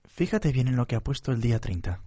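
An actor playing an unflappable, analytical detective in a radio drama, methodical and blunt. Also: male voice, mid-range voice, very animated, fast, mellow, breathy edge, no room sound, clean studio sound. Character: analytical, unflappable male detective briefing his team.

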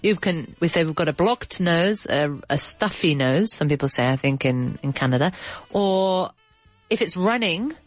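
A woman's voice: fairly low pitch, animated, moderate pace, soft background music. A woman hosting a casual bilingual chat, explaining English words, chatty and laughing, with a runny nose. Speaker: podcast host teaching English.

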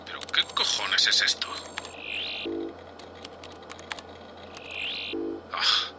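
A man's voice: moderate pace, background noise, close to an everyday voice. A man with a Spain Spanish accent playing a foul-mouthed, exasperated spaceship captain who scolds curtly in a sci-fi audio drama. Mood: alarmed, frustrated.

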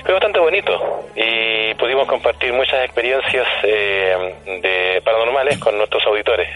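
A man's voice: on the low side, moderate pace, pushed and bright. A man with a Chilean accent chatting politely and formally as a radio guest in a phone interview. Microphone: telephone. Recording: tinny, narrow telephone line.

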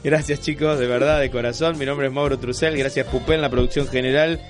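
A man's voice: medium pitch, fairly even intonation, brisk, faint music behind. An Argentine man hosting a radio magazine show with casual chat.

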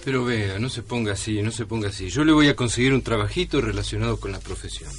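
An actor playing a paternal, excitable male newspaper editor, emphatic and theatrical, in a comic radio serial. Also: fairly low pitch, fast, music in the background, natural voice. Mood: regretful, consoling.

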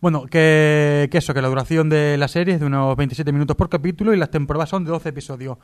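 Higher-voiced man hosting casual radio. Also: fast, clear, resonant tone. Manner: informal, jokey, rambling. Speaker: radio show host. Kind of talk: casual pop culture review.

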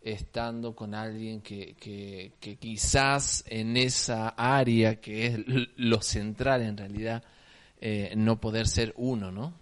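A man in his thirties from Salta, Argentina, with a Salta accent, chatting as an interview guest in a slow, drawn-out tonada with many repetitions. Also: fairly low pitch, fairly even intonation. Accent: Salta Argentine Spanish. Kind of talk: casual interview chat.

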